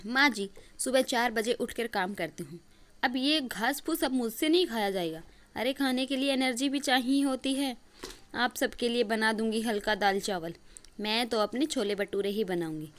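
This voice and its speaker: Woman acting a high, whiny young daughter-in-law. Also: normal speed, fairly bright tone, natural voice. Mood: defiant. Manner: complaining, indignant.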